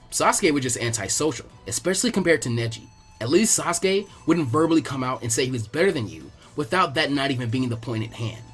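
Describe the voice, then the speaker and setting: A man's voice: mid-range voice, fairly bright tone. A man narrating fan fiction as a scripted story reading.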